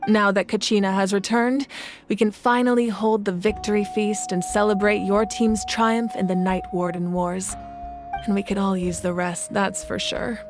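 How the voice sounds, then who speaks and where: A woman playing a calm, wise leader of warriors in a video game, speaking in a measured, formal and reassuring way. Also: mid-range voice, natural voice. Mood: calm, encouraging. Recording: music behind the voice.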